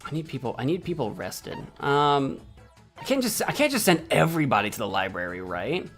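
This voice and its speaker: Lively American man streaming a game. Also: mid-range voice, fairly quick, faint music behind. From United States. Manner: thinks aloud, rambling and self-correcting; jokey, mock-indignant banter with chat. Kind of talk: casual gameplay commentary.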